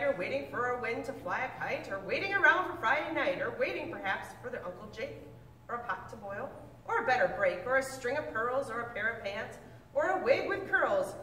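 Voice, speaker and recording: A woman reading a picture book aloud with lively, expressive delivery, now and then stumbling and correcting herself. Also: slight background noise. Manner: expressive storybook reading with big pitch swings. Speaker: reader. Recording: echoey room, mic at a distance.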